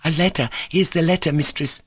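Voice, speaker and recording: A man's voice: fairly high pitch, a little fast, breathy edge, no echo, studio quality, pitched above the performer's own voice. A man reading a novel aloud, voicing a breathless, eager young maidservant. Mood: excited. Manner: excited, breathless.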